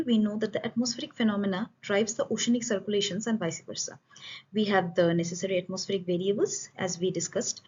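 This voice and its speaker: A woman gives an academic conference presentation as a researcher, in a formal, explanatory manner with halting phrasing. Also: mid-range voice, darkish tone, breathy edge. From India. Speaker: student researcher presenting a study. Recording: dull, lo-fi voice recording.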